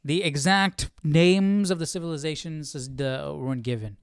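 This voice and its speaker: A man's voice: on the high side, normal speed, smooth. A man hosting a livestream Bible study, talking informally and thinking aloud. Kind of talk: casual livestream chat and study commentary.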